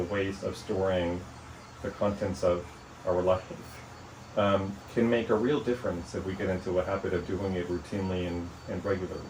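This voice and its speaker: A man with a very low voice talks in a measured, reflective way, often pausing on "um" and "you know". He sits a little back from the microphone in a roomy, echoing space with faint noise underneath. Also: darkish tone. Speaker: author interviewed about his book. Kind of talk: reflective interview conversation. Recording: echoey room, mic at a distance, faint noise.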